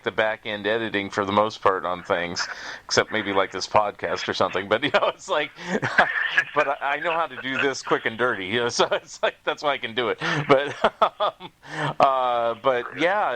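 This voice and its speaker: A man's voice: mid-range voice, sharp tone. A man hosting a podcast in a casual, chatty and rambling way, often chuckling as he goes. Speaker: podcast host and author.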